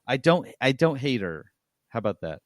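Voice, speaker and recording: A man with a medium-pitched voice chats casually as a podcast co-host. He offers game opinions in an informal, conversational way. The recording is dry, without room sound. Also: big pitch swings, moderate pace, no echo.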